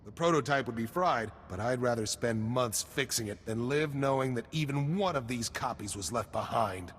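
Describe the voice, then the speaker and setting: An actor playing an earnest, conscientious military engineer in a video game, frank and urgent in delivery. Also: male voice, medium pitch, animated, moderate pace, breathy edge, long reverb tail. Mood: grave, determined.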